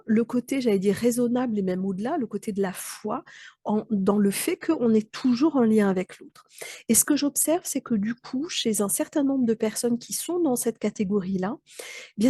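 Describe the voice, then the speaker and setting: A woman's voice: on the high side, dark tone, slightly rough, no echo, clean studio sound. A woman mentor with a slight cold, explaining in a thoughtful, hesitant way with many fillers and restarts. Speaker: mentor and trainer. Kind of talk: explanatory talk to camera.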